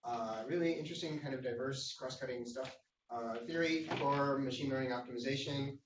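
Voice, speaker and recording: A man hosting a seminar, giving an informal introduction in a halting way and repeating words. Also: medium pitch. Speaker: seminar host introducing the speaker. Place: indoor seminar room. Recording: echoey room, distant mic, dull noisy feed.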